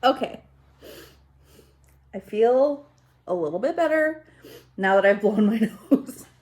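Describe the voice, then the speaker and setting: Slow-paced congested woman, rambling vlogger. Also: on the high side, expressive, a little mellow. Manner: self-deprecating, scattered, conversational asides. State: slightly congested. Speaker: YouTube vlogger. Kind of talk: casual rambling chat to camera. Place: home kitchen, indoors.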